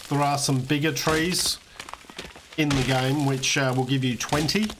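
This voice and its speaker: An Australian man, slightly hoarse, giving a relaxed walkthrough of a video game he is playing, with frequent fillers. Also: mid-range voice, a little slow, noisy background. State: slightly hoarse, losing his voice. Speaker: let's play host. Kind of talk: casual gameplay walkthrough commentary.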